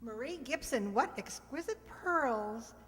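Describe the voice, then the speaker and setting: A gushing, admiring lady played by a woman in a vintage radio advert, very high and theatrical. She sounds out in an echoey hall with some background noise. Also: lively intonation, takes it slowly, fairly bright tone.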